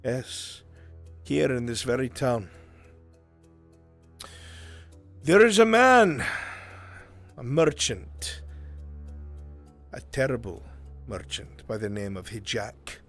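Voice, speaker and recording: A man playing a grave, earnest character in a fantasy roleplay, speaking deliberately with dramatic pauses. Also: medium pitch, expressive, a bit bright, faint music behind. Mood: bitter, grim. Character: grave, earnest man pleading for a lost enslaved friend. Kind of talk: in-character improvised fantasy acting.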